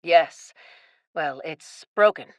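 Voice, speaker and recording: A woman's voice: low-pitched, takes it slowly, no room sound, phone-quality sound. A woman playing a falsely kind, scheming captain in a radio play, with a sugary, insincere manner. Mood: falsely sympathetic.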